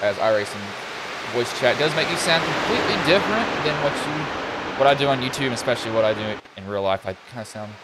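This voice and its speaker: A young man with a slightly deep voice commentating a sim truck race live, casual and rambling, getting excited when cars wreck. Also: a little fast, very noisy. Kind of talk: live sim racing play-by-play.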